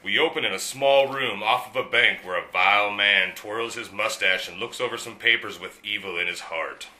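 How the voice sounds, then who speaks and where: A man's voice: fairly low pitch, moderate pace, bright, forceful tone, poor audio. A man playing a grandiose narrator and announcer in a parody of old western radio serials. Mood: grand, inviting.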